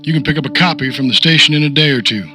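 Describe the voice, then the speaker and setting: An actor playing a businesslike, obliging police officer who speaks matter-of-factly in an audio drama. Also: male voice, on the high side, racing, sharp tone, soft background music. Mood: easygoing. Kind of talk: naturalistic audio drama acting.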